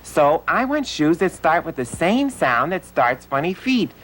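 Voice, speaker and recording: An eager little fellow of a puppet, childlike and insistent, with a low-set voice that swoops up and down. The delivery is emphatic and repetitive, made for a children's educational show. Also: female voice, big pitch swings, a little slow, heavily acted. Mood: earnest, insistent. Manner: repetitive, emphatic teaching of letter sounds. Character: eager childlike male puppet letter with big funny feet.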